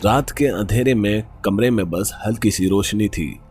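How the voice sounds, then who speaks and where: A man's voice: lowish voice, moderate pace, a little mellow. A man narrating a scripted horror story as a storyteller.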